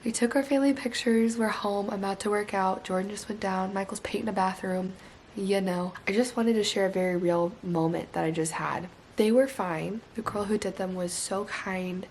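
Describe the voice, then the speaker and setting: A woman's voice: medium pitch, a little hiss or hum, poor audio. A woman in her twenties vlogging in a casual, candid way, her voice still recovering from being lost. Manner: chatty, candid, lots of "like". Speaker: vlogger and teacher. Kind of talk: casual vlog chat.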